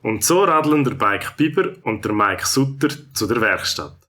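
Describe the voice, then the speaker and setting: Bright-voiced Swiss German male storyteller. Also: mid-range voice, very animated, normal speed. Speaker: narrator. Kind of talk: scripted children's story narration.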